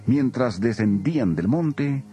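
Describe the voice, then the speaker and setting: A man's voice: mid-range voice, lively intonation, takes it slowly. A man narrating a dramatized Bible in a solemn storytelling style. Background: soft music.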